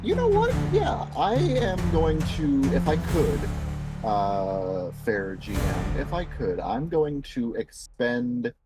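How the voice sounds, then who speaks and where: Male tabletop gamer, average pitch, informal table talk with clearly audible music underneath. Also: huge pitch swings, takes it slowly. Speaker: player in a tabletop game. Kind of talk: casual chat among players. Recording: music playing behind the voice.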